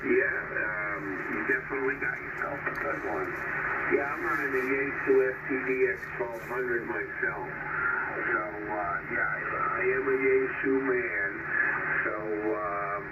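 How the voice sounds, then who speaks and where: A man heard through a radio transmission, chatting casually as an amateur operator in measured phrases with hesitant pauses. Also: medium pitch, muffled recording. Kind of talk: casual ham radio conversation. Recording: very noisy, distant radio reception.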